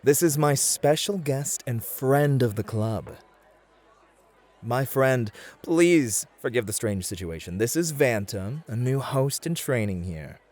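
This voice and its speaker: A man acting in an ASMR roleplay as a smooth, confident, flirty club host who reassures and charms. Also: mid-range voice, lively intonation, slow, a little mellow. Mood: welcoming, charming.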